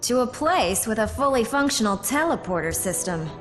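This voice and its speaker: A woman's voice: a little high, very animated, normal speed, faint music behind. A woman playing a breezy, wisecracking magician heroine in a video game, playful and flippant.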